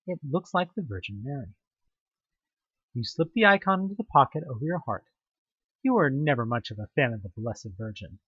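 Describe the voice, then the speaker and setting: A man's voice: mid-range voice, big pitch swings, a little fast, slightly rough, no room sound, phone-quality sound. A young man reading a second-person horror audioplay aloud, building suspense and now and then tripping over a word. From Houston, United States. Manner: tense, suspenseful storytelling with occasional slips. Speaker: narrator.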